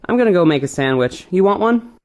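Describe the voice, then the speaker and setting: A man plays an easygoing boy of about fourteen in an audio play. The voice is on the high side, with a casual, slightly cocky and flippant way of talking. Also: lively intonation, fast, a little mellow, a little hiss or hum. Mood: breezy, chatty.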